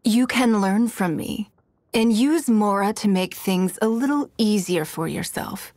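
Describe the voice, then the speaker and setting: Woman acting as an elegant calculating rich lady boss from a video game, talks slow and composed, mid pitch. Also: a little mellow, dry recording, lightly acted. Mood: composed, shrewd. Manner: composed, refined, deliberate, coolly authoritative. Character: poised, shrewd, wealthy woman leader, elegant and calculating. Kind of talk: video game character voice lines.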